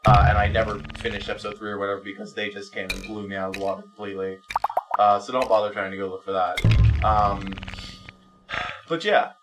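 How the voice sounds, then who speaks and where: A man's voice: fairly low pitch, level tone, fairly quick, distant mic, rough recording. A man giving rambling, profane, self-deprecating commentary while playing a video game. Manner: exclaims at the game mid-sentence. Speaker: video game let's player. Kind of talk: rambling casual gameplay commentary. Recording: music playing behind the voice. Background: music.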